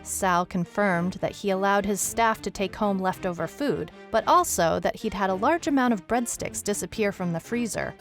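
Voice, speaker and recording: A woman's voice: medium pitch, normal speed, hardly any pauses, lightly acted. Wry female detective narrator over music, played by an actress. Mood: wry, matter-of-fact. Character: wry female detective recounting a strange monster case. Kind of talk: scripted first-person audio drama narration.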